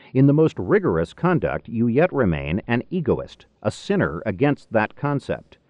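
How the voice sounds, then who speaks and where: Deep-voiced man reading, richly varied intonation. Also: darkish tone, no echo. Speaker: audiobook reader. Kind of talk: scripted audiobook narration of philosophy. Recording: dull, lo-fi cassette tape recording.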